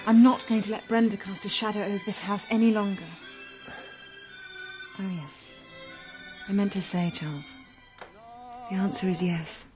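A woman's voice: mid-range voice, steady tone, moderate pace, long pauses, a little mellow, faint music behind, close to an everyday voice. An actress playing a determined, playful young woman in love, in a radio drama. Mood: determined, romantic. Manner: playful, teasing. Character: spirited, determined young bride-to-be.

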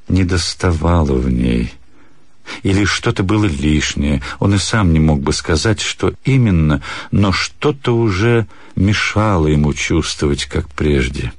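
A man narrating a literary story for radio in a measured, pensive manner. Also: sits low, darkish tone, dry recording.

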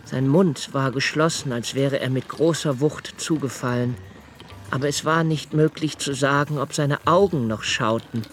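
An actor playing an anguished, brooding writer in a literary radio play monologue, speaking deliberately in halting phrases with intense emphases. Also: male voice, mid-range voice, slight background noise, lightly acted. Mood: grave, intense. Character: anguished, brooding male writer confessing his dread.

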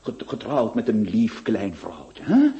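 An actor playing a scheming notary who swings between syrupy coaxing and gleeful threats. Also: male voice, fairly low pitch, huge pitch swings, slow, warm tone, muffled recording, full character voice. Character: scheming middle-aged male notary, wheedling yet menacing. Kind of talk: radio drama acting.

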